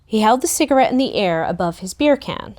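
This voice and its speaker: A teenage girl played by a woman narrates a young adult novel in the first person, at a medium pitch. She is wistful and reflective, easily flustered, and turns pointed and indignant when she speaks her dialogue. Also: animated, brisk, darkish tone, lightly acted. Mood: unsettled. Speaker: table-read performer.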